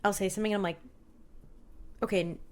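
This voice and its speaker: A woman comedian co-hosting a podcast in casual chat, with a very high, light voice and an earnest, rambling way of talking, full of "like". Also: steady tone, fast talker, darkish tone. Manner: earnest, rambling, lots of filler "like".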